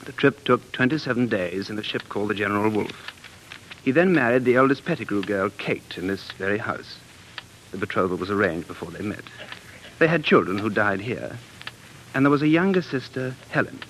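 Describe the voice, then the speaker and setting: A man's voice: fairly low pitch, lively intonation, a little fast, a bit bright, slight background noise, rough recording. An actor playing an earnest, dreamy man who is obsessed with the past, narrating intensely in 1940s radio drama style. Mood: eager, absorbed. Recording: vintage 1940s radio broadcast with music.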